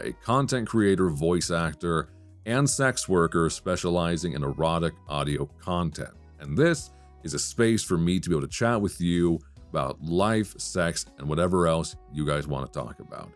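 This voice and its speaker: A man with a low, deep voice hosts a late-night style podcast. He talks in a thoughtful, measured and articulate way, as a practised voice actor chatting to listeners. Faint guitar music plays behind him. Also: animated. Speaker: podcast host.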